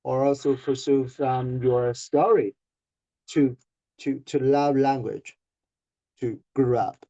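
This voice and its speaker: Halting slow-talking male interviewer, lo-fi. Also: medium pitch, steady tone, mellow. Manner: halting, repeats words while searching for them. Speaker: interviewer, book translator. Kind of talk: conversational interview questions.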